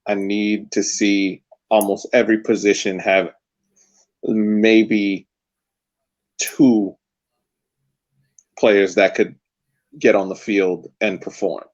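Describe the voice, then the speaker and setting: A man co-hosting a casual sports podcast, hesitant and halting, often restarting his sentences. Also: fairly low pitch, little pitch movement, lo-fi sound. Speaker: podcast co-host.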